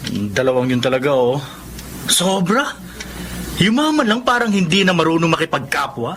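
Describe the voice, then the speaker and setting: Earnest filipino son, radio drama, highish voice, noisy background. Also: male voice, sing-song, normal speed. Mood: indignant. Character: indignant, respectful son of a humble mother.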